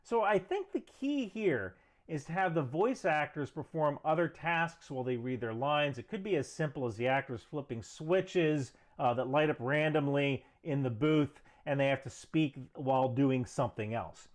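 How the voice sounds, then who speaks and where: A man's voice: fairly high pitch, expressive, normal speed, hardly any pauses. A man presenting a scripted explainer commentary with emphatic, structured delivery. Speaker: video presenter.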